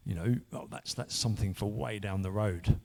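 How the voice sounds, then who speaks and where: A man's voice: lowish voice, moderate pace, vocal fry, a little mellow, slight background noise. A man, earnestly telling his own story in a rambling way, full of restarts. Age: 50s. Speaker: church member sharing testimony. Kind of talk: personal testimony.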